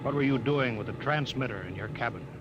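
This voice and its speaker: A man's voice: mid-range voice, quick pace, natural voice. Noisy vintage radio, man acting stern starship captain. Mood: stern, suspicious. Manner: clipped, authoritative interrogating delivery. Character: stern, commanding male starship captain confronting a traitor. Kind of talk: old-time radio drama acting. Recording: muffled, very noisy vintage radio drama recording.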